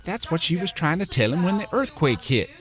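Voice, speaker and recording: An actor playing an anxious, hot-tempered husband in an audio soap opera, earnest and intense. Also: male voice, on the high side, very animated, fairly quick. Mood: distressed. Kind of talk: soap-opera radio acting.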